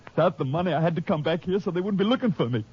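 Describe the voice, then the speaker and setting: An actor playing a desperate, cornered male killer in a 1950s radio drama, with a husky voice. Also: high-pitched, lively intonation, very fast. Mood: distraught. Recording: dull old radio transcription.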